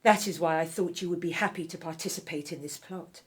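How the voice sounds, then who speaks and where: A woman's voice: fairly low pitch, a little fast, lightly acted. A woman playing a ruthless, vengeful royal wife in a radio drama, haughty and cutting in manner. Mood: venomous, haughty.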